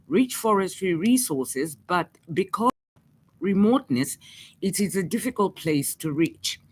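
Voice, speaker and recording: A woman with a Zambian accent reading a scripted radio news bulletin as presenter. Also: fairly low pitch.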